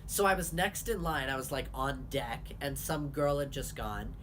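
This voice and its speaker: A young man hosting his vlog, talking candidly in a casual chat with quick asides. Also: medium pitch, animated, fairly bright tone, a little hiss or hum. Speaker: vlogger host.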